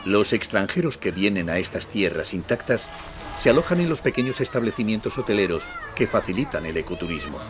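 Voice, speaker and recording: Man, documentary narrator, lowish voice, measured scripted reading, music bed. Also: big pitch swings. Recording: music bed under voice-over.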